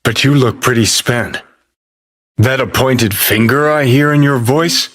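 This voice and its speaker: An actor playing a cynical rebel rockerboy ghost in a video game, talking in a gruff, sardonic drawl with clipped phrases. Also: male voice, mid-range voice, unhurried, fairly bright tone. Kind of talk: video game character voice acting.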